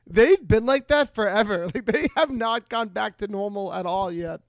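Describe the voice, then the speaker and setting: A man hosting a comedic podcast over a video call, telling rambling, excitable stories with some profanity. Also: high voice, moderate pace, dry recording, clean studio sound. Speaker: podcast host.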